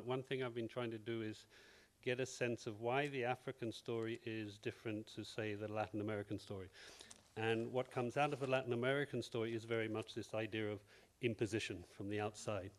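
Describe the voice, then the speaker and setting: A male researcher making a measured, reflective comment from the floor in a panel discussion. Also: medium pitch. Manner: measured, reflective, wondering aloud. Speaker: researcher at a conference.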